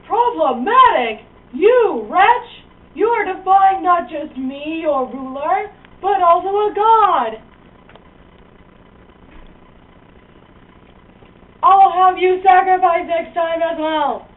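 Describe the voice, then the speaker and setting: Woman playing a high-voiced pompous puppet king. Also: lively intonation, slow, full character voice. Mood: outraged, imperious. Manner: imperious declaiming, comic slangy asides. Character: pompous, ill-tempered male Aztec king, puppet show. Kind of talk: amateur comic puppet show. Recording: tinny distant lo-fi mic, noisy room.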